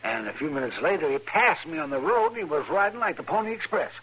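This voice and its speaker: An actor playing a chatty, crusty older town doctor with a raspy voice and folksy manner in a radio Western. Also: male voice, on the high side, quick pace, exaggerated voice, poor audio. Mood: puzzled, chatty. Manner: animated, folksy storytelling. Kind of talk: old-time radio Western acting.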